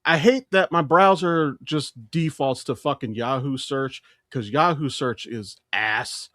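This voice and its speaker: A man's voice: medium pitch, unhurried, fairly bright tone, dry recording, studio quality. A man co-hosting a podcast in casual pop-culture chat, emphatic and opinionated, swearing freely. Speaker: podcast co-host.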